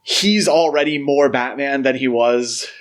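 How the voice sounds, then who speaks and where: Male podcast co-host, mid-pitched voice, casual and analytical, explains as he chats about film. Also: a little slow.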